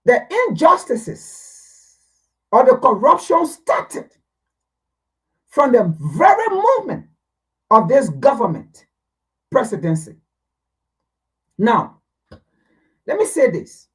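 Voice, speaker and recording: A man's voice: very high-pitched, husky. A man giving pointed political commentary on a talk show, accusing and emphatic. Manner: lively, accusing, emphatic pauses.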